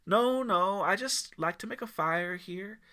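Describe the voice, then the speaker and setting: A man voicing a mysterious, welcoming mountain man by a campfire, with a low, crisp voice and an unhurried, folksy manner. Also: bright, forceful tone. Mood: calm, welcoming.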